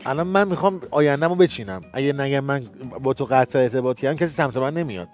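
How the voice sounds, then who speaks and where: A man playing a calm, formal dating-show contestant in a comic sketch. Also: mid-range voice, faint music behind, natural voice. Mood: composed.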